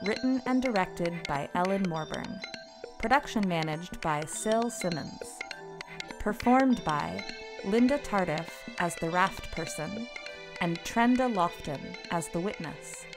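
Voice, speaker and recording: A woman announcer reading scripted closing credits in a measured, deliberate way. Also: on the low side, animated. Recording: faint music behind voice.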